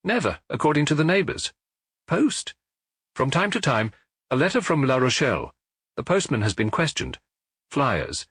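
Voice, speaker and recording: A man reading an audiobook, voicing a middle-aged male police officer who gives his report in a measured, matter-of-fact way. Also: mid-range voice, very animated, fairly bright tone, slightly rough, no echo, studio quality, voice pushed up. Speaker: audiobook reader.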